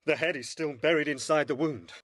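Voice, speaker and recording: A man's voice: on the high side, brisk, a bit bright, no echo, close to an everyday voice. A man playing a concerned, practical ranger companion, earnest and worried, in an amateur fantasy audio play.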